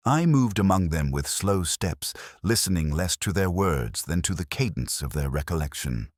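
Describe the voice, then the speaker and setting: Brief: male narrator, very low voice with expressive swings, measured and brooding, for scripted detective fiction. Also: no room sound, clean studio sound. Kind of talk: scripted first-person detective story narration.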